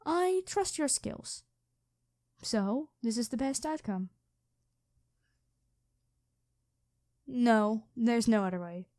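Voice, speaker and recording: Mellow, high-voiced regal dragon queen played by a woman. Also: slow, dry recording, clean studio sound. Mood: commanding, condescending. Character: imperious, calmly threatening dragon queen in human form. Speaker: voice actor in an audio roleplay. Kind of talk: ASMR roleplay monologue addressed to the listener.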